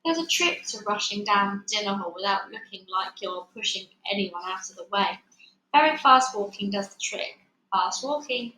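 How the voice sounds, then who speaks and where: A woman reading a children's book aloud in an expressive, dramatic storytelling style. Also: mid-range voice, normal speed. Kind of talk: animated read-aloud narration. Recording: echoey room, faint background noise.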